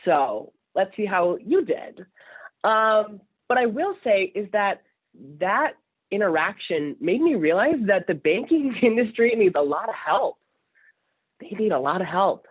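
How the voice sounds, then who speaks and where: Young man with a higher voice, wry. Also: sing-song, measured pace, husky. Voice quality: higher-pitched voice. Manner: candid, wry, chatty with many fillers. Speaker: alumni panelist. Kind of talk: storytelling on a panel. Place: home office. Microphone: video call. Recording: phone-like video call audio.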